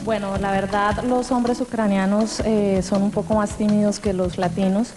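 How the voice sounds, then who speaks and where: Woman from Colombia, lively, confident, mid-pitched voice, music behind. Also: brisk. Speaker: pageant contestant. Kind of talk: answering on stage through an interpreter.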